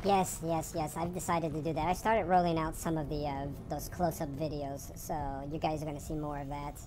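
A woman streamer chatting casually with fans during an online game, upbeat and quick to exclaim. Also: deep voice, level tone, noisy background. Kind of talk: casual gaming chat.

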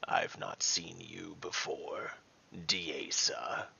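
A man voicing a sinister, taunting dragon with a hissing, breathy whisper, speaking slowly and deliberately in an audio story. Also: deep voice, big pitch swings, pushed and bright, lo-fi sound, full character voice. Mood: menacing, contemptuous. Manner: slow, deliberate. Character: sinister taunting male dragon, menacing and mocking.

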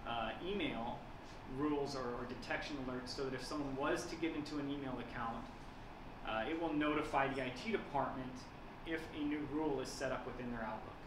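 A man's voice: medium pitch, a little fast. A man in his thirties explaining things on a panel at a lunch event, talking with many fillers and restarts. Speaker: company executive giving a talk. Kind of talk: conversational panel presentation. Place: banquet room at a lunch event. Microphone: handheld mic, filmed from a far camera. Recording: echoey room from far off, lunch noise.

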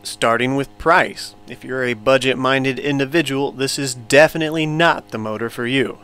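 A man narrating a scripted product review as a vlogger, in a measured, matter-of-fact way. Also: medium pitch, a bit bright, smooth. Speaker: vlogger, motorized bike reviewer.

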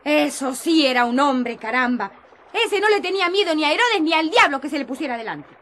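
A sharp-tongued, earthy village prostitute in a radio drama. Her voice is high and piercingly bright, full of sass and mockery. The recording carries faint noise. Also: animated, a little fast. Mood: indignant. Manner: sassy, mocking, earthy.

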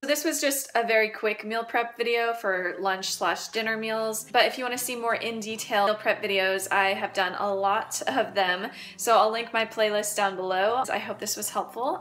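A young woman with a bright, forceful tone chats easily to her viewers, explaining each cooking step as she goes. She sounds close to a camera in a home kitchen, with faint music underneath. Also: medium pitch, moderate pace, few pauses. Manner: chatty, explaining steps to viewers. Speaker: vlogger. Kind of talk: casual cooking vlog.

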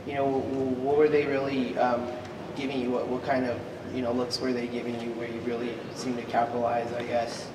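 A man working as a reporter, asking questions haltingly with many stumbles and "you know.". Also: medium pitch, completely flat delivery. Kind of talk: press conference questions. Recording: echoey hall, distant mic, room noise.

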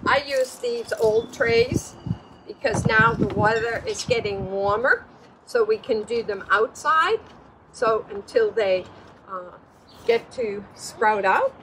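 Slow-paced, high-voiced older woman teaching children. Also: sing-song. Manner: encouraging, praising, instructing children. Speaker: children's storytime host. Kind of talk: hands-on gardening demonstration for young children. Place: outdoors at a table in the wind. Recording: breezy outdoor noise, birds.

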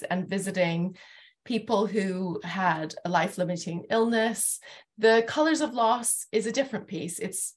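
A woman in her forties with a medium-pitched voice leads a discussion in an earnest, considered way. She feels for her phrasing as she talks, speaking on a headset over a video call from home. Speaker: host, interviewer. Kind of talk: thoughtful discussion hosting. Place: living room at home, indoors, on a video call. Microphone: wired earphone headset on a video call.

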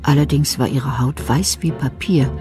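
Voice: mid-range voice, fairly even intonation, moderate pace, over loud music. A narrator giving a dramatic scripted reading in a German radio thriller.

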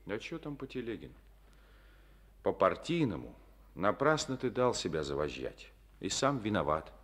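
A man's voice: on the low side, big pitch swings, moderate pace, fairly bright tone. An actor playing a coaxing, reassuring male party secretary in a Soviet radio play.

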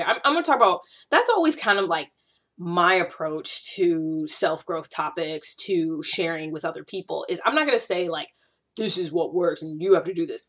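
An American woman in her thirties talking casually to camera, conversational and emphatic, repeating points for stress. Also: lowish voice. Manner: conversational, animated gesturing, emphatic repetition. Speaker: YouTube host sharing self-growth advice. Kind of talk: casual direct-to-camera talk.

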